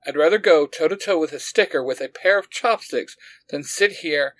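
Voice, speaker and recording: A man's voice: fairly high pitch, moderate pace, fairly bright tone, no echo, natural voice. A man voicing an impatient, whiny teenage girl in a fan storybook reading. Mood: restless.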